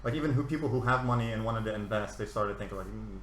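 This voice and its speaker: A man's voice: lowish voice, fast, slight background noise. A man around thirty hosting a casual podcast, friendly and joking, with many filler words. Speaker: podcast host. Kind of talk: casual chat. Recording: echoey room with faint ambience.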